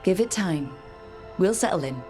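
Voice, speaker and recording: Woman playing a low-voiced, steady young leader. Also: moderate pace, soft background music, close to an everyday voice. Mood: warm, wry. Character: confident young female team leader, calm and wry. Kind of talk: dramatic video game acting.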